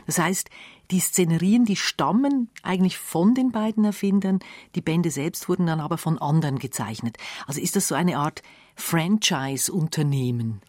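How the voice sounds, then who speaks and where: A woman's voice: fairly low pitch, moderate pace, darkish tone, dry recording, clean studio sound. A woman hosting a radio magazine, asking questions and summing up in a conversational interview style.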